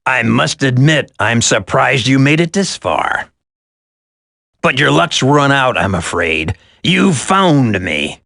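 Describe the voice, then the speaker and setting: Gloating game villain: creaky, sharp-edged, slow taunting drawl, sadistic relish. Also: male voice, medium pitch, big pitch swings, no echo, clean studio sound, heavily acted. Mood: smug. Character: smug sadistic male terrorist villain, gloating and mocking. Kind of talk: theatrical video game villain acting.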